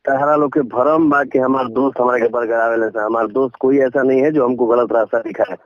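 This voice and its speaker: A man's voice: mid-range voice, fairly even intonation, a little fast, dry recording. A man with a Bhojpuri accent arguing defensively in a personal phone conversation, caught on a lo-fi call recording. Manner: defensive, argumentative.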